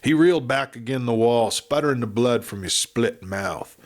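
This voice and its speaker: A man narrating a Western audiobook with expressive, dramatic storytelling. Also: medium pitch, moderate pace.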